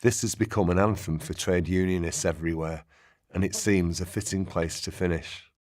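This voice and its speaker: A man playing an earnest narrator, giving measured, formal documentary-style narration in a radio play. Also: low-pitched, fairly even intonation, a little mellow, no room sound, studio quality, close to an everyday voice.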